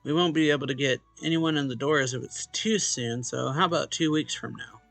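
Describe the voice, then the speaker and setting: Male let's play host, casual visual novel read-aloud, mid-pitched with some fry, game music underneath. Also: a little fast, fairly bright tone. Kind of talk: casual read-aloud of game dialogue and first-person narration.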